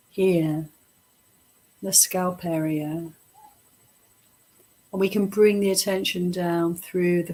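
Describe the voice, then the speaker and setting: A woman leading a guided meditation as a teacher, speaking gently in short, unhurried phrases with pauses between them. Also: lowish voice, low-quality recording. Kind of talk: guided meditation, softly instructive.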